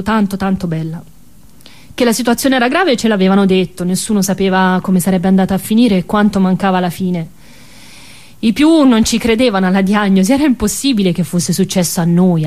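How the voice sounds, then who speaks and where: A woman's voice: mid-range voice, darkish tone. A woman with a Tuscan accent performing a narrative stage monologue in a colloquial, ironic storytelling manner.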